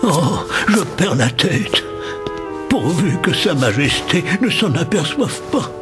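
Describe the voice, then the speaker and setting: An actor playing an achy, bewildered old valet who mutters and complains in a cartoon. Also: male voice, a little high, big pitch swings, takes it slowly. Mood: puzzled. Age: older. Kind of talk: cartoon character acting. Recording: loud music under the voice.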